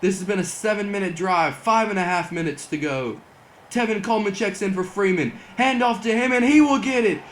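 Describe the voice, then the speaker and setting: A young man calls a football video game like a broadcast announcer, his voice sitting a bit high and starting to wear thin from all the calling. He builds to near-shouts on the big plays, and a faint hiss of noise runs under the recording. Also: fairly quick. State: losing his voice. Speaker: gaming channel host doing play-by-play. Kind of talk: mock broadcast sports commentary over gameplay.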